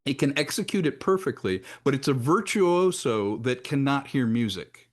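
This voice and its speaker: A man with a medium-pitched voice speaks as a panelist in a discussion. He is emphatic and articulate, building his argument with repeated phrases. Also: lively intonation, normal speed. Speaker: panelist, audio drama professional.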